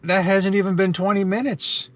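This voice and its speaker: A man's voice: high voice, level tone, moderate pace. A man casually narrating as an amateur radio operator, switching between explanation and phonetically spelled contest calls, recorded inside a car. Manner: spells out call signs and numbers in phonetic alphabet.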